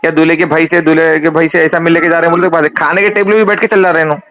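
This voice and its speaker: A man with a Hyderabadi accent and a slightly high voice talks informally on a recorded phone call. He is the caller, laying out his question in an insistent, repetitive way. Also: level tone, very fast, a bit bright. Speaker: caller putting a question to a cleric.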